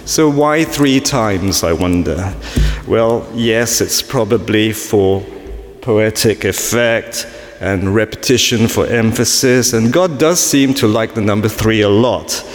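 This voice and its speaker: A man's voice: lowish voice, slow. A man from Singapore giving a sermon at a family church service, chatty and self-mocking, with frequent ums and asides. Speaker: preacher. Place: large church. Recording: echoey church with long reverb.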